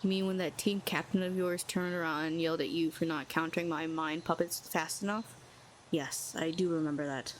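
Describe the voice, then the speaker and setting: A woman playing a sardonic telepathic villainess, low-voiced. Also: fairly even intonation, brisk, slight background noise. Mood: guarded. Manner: sardonic, cool, needling. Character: proud telepathic female villain, cynical, lonely. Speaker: audio roleplay performer. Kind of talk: scripted audio roleplay.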